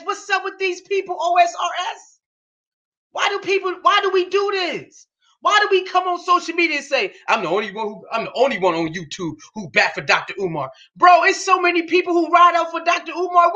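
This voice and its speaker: A man hosting a reaction show, commenting on a podcast clip with indignant rhetorical questions and bursts of shouting. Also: very high voice, a little fast, dull audio.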